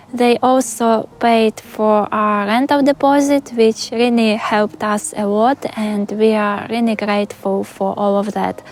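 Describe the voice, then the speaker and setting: A young adult woman from Ukraine with a Ukrainian accent, calmly and earnestly answering viewers' questions in a vlog. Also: on the high side, a little hiss or hum. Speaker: vlogger. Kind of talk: Q&A vlog narration.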